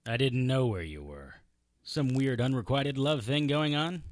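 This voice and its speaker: An actor playing a wry, unflappable private investigator in an audio drama, narrating and talking in a deadpan, sardonic way. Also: male voice, medium pitch, unhurried, no room sound, clean studio sound, close to an everyday voice. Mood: wry, unruffled. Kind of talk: hard-boiled detective audio drama acting with first-person narration.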